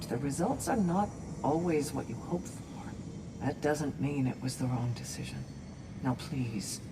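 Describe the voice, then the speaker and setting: An actress playing a solemn, gentle and resolute valkyrie in a video game, speaking in measured, earnest phrases. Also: female voice, deep voice. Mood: solemn, calm. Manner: measured, deliberate, earnest delivery with pauses. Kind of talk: dramatic video game voice acting. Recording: echoey long reverb, faint background noise.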